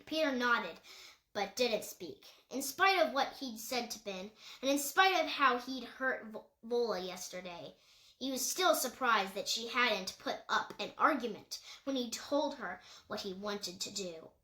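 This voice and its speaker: A woman with a fairly high voice reads a story aloud in a noticeably echoey room. She narrates from the page and now and then trips on a word and corrects herself. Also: animated, a little slow, pushed and bright. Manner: occasional stumbles and self-corrections. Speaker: audiobook reader.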